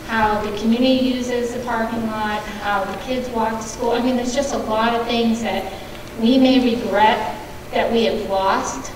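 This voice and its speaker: Brief: woman resident, earnest and persistent, fairly high voice, distant mic in a noisy echoey hall. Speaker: resident speaking at a public meeting. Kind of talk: public comment at a community meeting. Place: large meeting hall.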